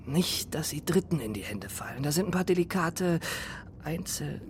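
A man's voice: fairly high pitch, lively intonation, normal speed, noisy background, lightly acted. A man playing an imperious, vain and menacing businessman in a radio drama, with a clipped, brooding manner. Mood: cold, commanding. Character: imperious, vain, violent businessman giving covert orders. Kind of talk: German radio drama acting.

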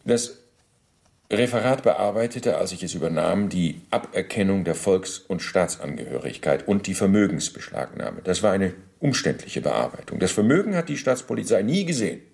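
Radio drama role: middle-aged Nazi functionary giving testimony, low creaky voice, officious, halting, self-justifying. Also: male voice, big pitch swings, darkish tone, full character voice. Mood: officious, pedantic. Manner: formal, bureaucratic, halting with deliberate pauses. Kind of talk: German radio play, testimony monologue.

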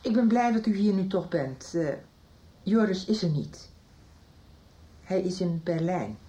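A worried, low-voiced mother. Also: lively intonation, a little slow, mellow. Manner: apologetic, pleading. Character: anxious mother of a runaway son. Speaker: mother in a radio soap. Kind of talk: 1990s regional radio drama acting.

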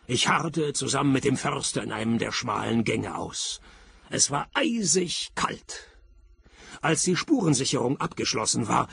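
An actor playing a dry, laconic private detective, narrating a radio play in a deadpan, terse manner. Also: male voice, medium pitch, gravelly, exaggerated voice. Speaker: narrating lead character.

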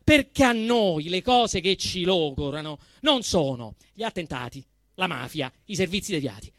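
Male, Roman accent, stage satirist. Bright, forceful voice, big dynamic swings from deadpan to indignant, dry sound. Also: high-pitched, moderate pace, dry recording. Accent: Roman Italian. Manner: emphatic, swinging from hushed deadpan to shouted outrage. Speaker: actor performing a comic monologue. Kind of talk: theatrical satirical monologue to a live audience.

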